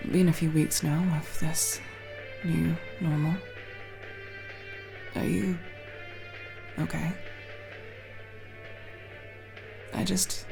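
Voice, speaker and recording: A young woman playing a guarded but tender tomboy friend in an ASMR roleplay, speaking intimately and haltingly, with sincere, teasing, casually sweary delivery. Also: low voice, creaky, full character voice. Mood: gentle concern. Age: young adult. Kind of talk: ASMR roleplay, intimate one-sided dialogue.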